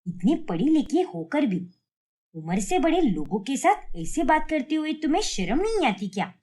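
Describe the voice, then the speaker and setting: Woman voicing a sharp, scolding Indian mother-in-law. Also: high voice, big pitch swings, normal speed. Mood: angry, scolding. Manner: sharp, nagging, indignant. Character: short-tempered, scolding middle-aged Indian mother-in-law. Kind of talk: cartoon family drama story.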